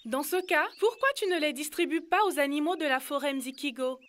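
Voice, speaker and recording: Casting: woman as playful cartoon village girl, piercingly high, bright delivery. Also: a little fast, slight background noise. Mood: cheerful, eager. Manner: playful, giggly, teasing. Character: cheerful, helpful young village girl. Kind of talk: animated educational cartoon dialogue.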